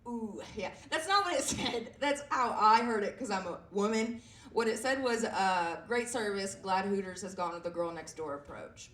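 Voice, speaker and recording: Woman, twenties, raspy voice, stand-up comedy, self-deprecating, stumbling delivery, roomy echo. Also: medium pitch, lively intonation, a bit bright. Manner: self-deprecating, halting with frequent fillers. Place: comedy club stage. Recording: echoey room heard from a distance.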